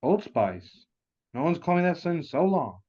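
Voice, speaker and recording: A man's voice: on the high side, very animated, normal speed, a little mellow. A man playing a chatty, rambling waitress in a comedy table read, recorded with dull lo-fi sound. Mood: surprised, keen. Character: chatty, rambling restaurant waitress turned weapons specialist. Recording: dull lo-fi call audio.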